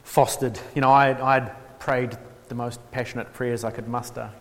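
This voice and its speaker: A man gives a relaxed workshop talk at a middle pitch. He pauses often with ums and restarts, and adds a dry, self-mocking humour. His voice carries a long hall reverb. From New Zealand. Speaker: workshop speaker on theology. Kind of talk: informal lecture.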